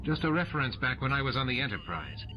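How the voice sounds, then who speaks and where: A man's voice: mid-range voice, big pitch swings, fast talker, background noise, voice pushed up, natural voice. Man playing a matter-of-fact engineer, muffled recording. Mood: brisk. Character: practical male chief engineer, brisk and informative.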